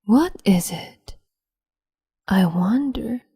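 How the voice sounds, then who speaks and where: A woman voicing a cruel, capricious empress in an ASMR roleplay, speaking slowly and haughtily in a half-whisper close to the microphone. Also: mid-range voice, expressive, vocal fry, warm tone, breathy edge, no room sound, exaggerated voice. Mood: haughty, taunting.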